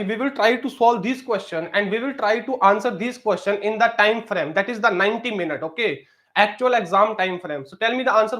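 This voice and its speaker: A man's voice: sits high, fairly bright tone. A man runs a live-streamed exam prep lesson. He leans on fillers like "you know" and keeps asking viewers to post answers in the comments. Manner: repeats pleas for comments, many fillers.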